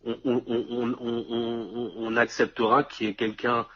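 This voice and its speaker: A man in casual discussion, explaining step by step and checking that the listener follows, with a husky, rough voice. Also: mid-range voice, flat delivery, normal speed. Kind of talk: casual two-person discussion. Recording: dull lo-fi audio.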